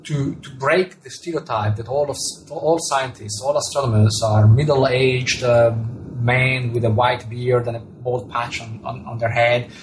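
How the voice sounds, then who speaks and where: A man's voice: medium pitch, steady tone, unhurried, hardly any pauses, background noise. A man with an Italian accent, an author answering interview questions thoughtfully, often repeating words and restarting his sentences. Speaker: author and astronomer.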